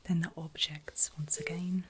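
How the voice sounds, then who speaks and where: A woman speaking in a breathy whisper, gently reassuring and encouraging as she plays a clinician in an ASMR medical roleplay. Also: deep voice, flat delivery, a little slow, background noise. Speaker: ASMR performer playing a surgical clinician.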